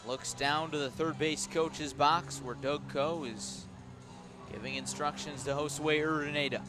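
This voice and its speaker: A man doing live radio play-by-play of a baseball game, with a measured call that rises sharply on big plays. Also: fairly high pitch, fairly even intonation, music in the background.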